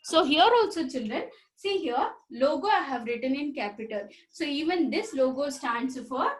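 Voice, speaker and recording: A high-pitched woman teaches a school computer class. She talks straight to the children, repeats herself and asks questions as she goes. Her voice sits well above most women's. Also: expressive, moderate pace. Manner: repetitive, addresses children directly, asks questions. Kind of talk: recorded school computer lesson.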